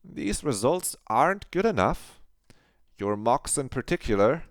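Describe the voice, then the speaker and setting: A man voicing a stern but caring middle-aged woman teacher who scolds and probes a quiet student. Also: mid-range voice, sing-song, a little slow, no room sound, studio quality, pitched above the performer's own voice. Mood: disappointed. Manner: disappointed, probing, scolding.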